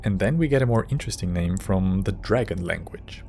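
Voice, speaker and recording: A man's voice: deep voice, expressive, brisk, creaky, a little mellow. A man narrating as host of a video essay, scripted with casual asides.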